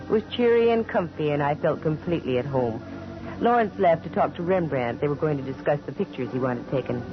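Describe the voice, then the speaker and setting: An actress playing a wry, self-assured private investigator, dry and breezy, in 1940s radio acting and narration. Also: female voice, deep voice, animated, fairly quick, over music. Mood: narrating. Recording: muffled old radio recording.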